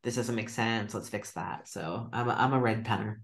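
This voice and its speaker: A middle-aged woman, a novelist, chatting casually over a video call with a book club, self-deprecating and quick to laugh. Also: a bass voice. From Nova Scotia, Canada. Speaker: novelist guest.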